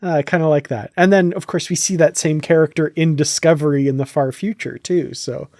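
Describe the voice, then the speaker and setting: A man's voice: a little high, fairly even intonation, a little fast, a little mellow, dry recording, clean studio sound. A man hosting a casual fan podcast discussion, chatty and thinking aloud as he goes. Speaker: podcast host.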